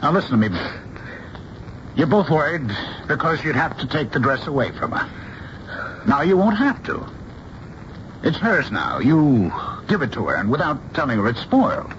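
An exasperated, middle-aged American dad in a radio play. His pitch leaps up and down as he insists and stammers. The old broadcast sound is dull with a faint hiss. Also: big pitch swings, moderate pace, long pauses, fairly bright tone. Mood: exasperated, scheming. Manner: insistent, stammering, repeating himself. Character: bossy, exasperated father of a bride, middle-aged man. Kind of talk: radio drama acting.

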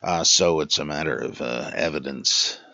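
A man hosting a podcast, answering listener questions in a rambling, lecture-like way full of pauses and restarts. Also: extremely low pitch, bright, forceful tone, dry recording, dull audio. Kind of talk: casual lecture-style answers.

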